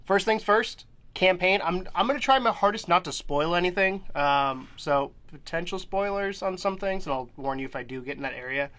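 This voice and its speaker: A man's voice: high-pitched, moderate pace, a bit bright. A young man hosting a casual gaming podcast, a bit nasal from a cold, rambling and laughing often. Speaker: podcast host.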